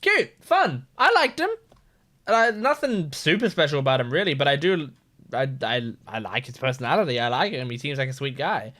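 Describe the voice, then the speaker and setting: A man's voice: mid-range voice, big pitch swings, normal speed, sharp tone. A man hosting a reaction video with casual, playful, rambling commentary.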